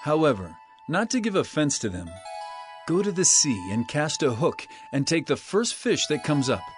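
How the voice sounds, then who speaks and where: An earnest, solemn teacher of parables in a scripture drama. The voice sits a little high and swings expressively, with emphatic preaching delivery. Soft music runs underneath. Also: male voice, sing-song, moderate pace. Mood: calm instruction. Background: background music.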